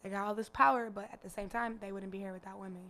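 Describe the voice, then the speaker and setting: A raspy-voiced young woman from Chicago, chatting loosely as a guest musician, full of fillers and profanity. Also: medium pitch, steady tone, fast talker, pushed and bright. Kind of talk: casual interview chat.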